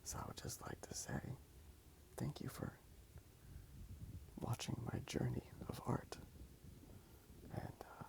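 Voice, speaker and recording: A man speaking softly in a casual, rambling ASMR style as he draws. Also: slow, pauses often. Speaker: ASMR video creator. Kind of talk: whispered ASMR rambling while drawing.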